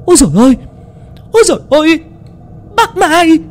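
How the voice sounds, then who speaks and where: A terrified village woman wails in a piercing, very high voice, put on by a man narrating a story. Her cries are frantic and shrill. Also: sing-song, normal speed. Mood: panicked wailing. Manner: shrill panicked wailing.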